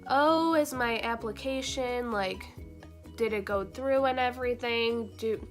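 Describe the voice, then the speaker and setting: A young woman vlogger talks in a chatty, excitable way, with a voice sitting a little high for her. A recent cold leaves her sounding slightly nasal. Light music plays softly behind her. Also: measured pace. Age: young adult. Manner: bubbly, chatty, frequent fillers and laughs. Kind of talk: casual vlog chat.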